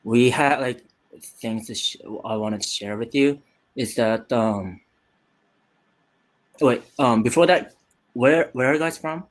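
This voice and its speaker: Slow, hesitant young man from Japan. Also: mid-range voice. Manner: hesitant, many fillers and restarts. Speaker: youth participant. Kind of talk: casual video call chat. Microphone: video call.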